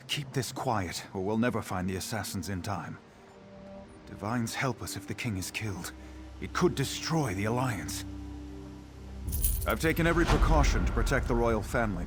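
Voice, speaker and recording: An actor playing a stern, loyal guard captain in a fantasy game, speaking seriously and with guarded urgency. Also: male voice, fairly low pitch, lively intonation, normal speed, over music, lightly acted. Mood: urgent, grave. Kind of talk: video game voice acting.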